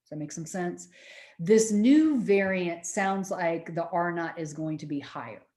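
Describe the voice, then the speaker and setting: A middle-aged woman, lecturing students in a loud, projecting voice and often checking that they follow. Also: on the low side, unhurried, roomy sound. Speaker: university lecturer.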